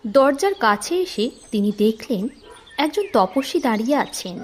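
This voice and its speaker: A woman narrating an audio story in an expressive, dramatic storytelling manner. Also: fairly high pitch, normal speed, slight background noise.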